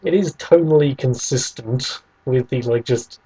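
A man's voice: medium pitch, a little slow, warm tone, husky, poor audio. A man chatting casually as a podcast co-host, bantering and arguing his point. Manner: bantering, argumentative. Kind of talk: casual debating chat.

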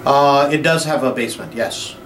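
A man's voice: mid-range voice, steady tone. Guy on a phone call, stretches his words, roomy office, faint hum. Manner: drawn-out, filler-heavy talk. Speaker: real estate investor bidding at auction. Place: small office. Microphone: phone held at mouth, speakerphone.

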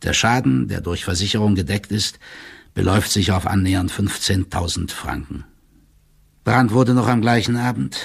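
A man playing a deferential, pedantic court clerk who reads out his letter in a formal, measured manner. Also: low-pitched, creaky, breathy edge, heavily acted. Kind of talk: formal dramatic letter reading in an audio drama.

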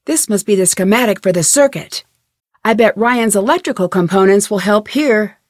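A woman playing a young, inquisitive and determined female amateur detective in a video game, speaking her scripted lines clearly. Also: mid-range voice, animated, normal speed, no echo, lightly acted. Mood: thoughtful. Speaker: voice actress in a detective adventure game.

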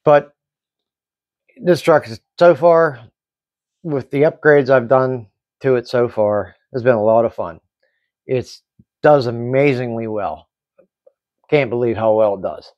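A middle-aged man giving a casual hands-on hobby review in halting, explanatory short phrases. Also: medium pitch, no echo, lo-fi sound. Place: small indoor hobby workbench.

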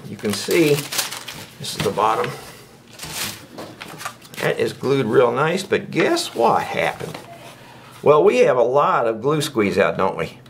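A man's voice: mid-range voice, creaky, a bit bright, a little hiss or hum. An older man giving a casual hands-on woodworking demonstration, chatty and folksy, often tossing out rhetorical questions. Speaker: woodworking instructor. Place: indoors at a workbench.

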